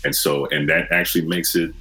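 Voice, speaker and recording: A man's voice: a bass voice, little pitch movement, brisk, bright, forceful tone, soft background music. A man in his forties from Michigan, a podcast guest telling his story in an earnest, reflective way. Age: 40s. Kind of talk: casual conversation.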